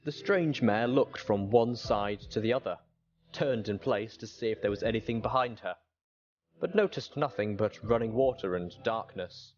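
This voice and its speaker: A man's voice: fairly low pitch, normal speed, a little mellow, dull audio. A man reading a story aloud as a dramatic narrator. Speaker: audiobook reader.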